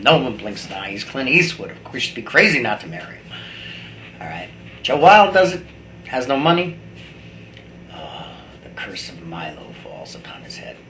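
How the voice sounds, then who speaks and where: A middle-aged man giving a rambling, blunt and opinionated personal monologue, like a video blogger talking to his camera. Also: on the low side. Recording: echoey room with faint background noise.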